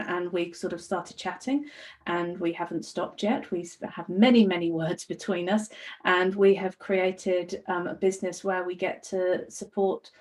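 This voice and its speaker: English woman, life coach, podcast guest, earnest, restarts often, somewhat low voice. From United Kingdom. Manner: earnest, enthusiastic, frequent ums and restarts. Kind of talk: casual podcast conversation.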